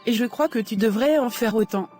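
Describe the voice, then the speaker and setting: Woman as a frank cartoon friend, fairly high voice, direct, faint music. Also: brisk, mellow, natural voice. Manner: direct, sympathetic.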